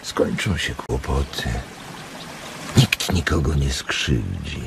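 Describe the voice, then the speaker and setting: An actor playing a weary, protective, sorrowful farmhand in a radio drama, speaking in a halting, tender way. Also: male voice, extremely low pitch, sing-song, creaky, heavily acted. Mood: tender, sad. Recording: noisy nature ambience behind.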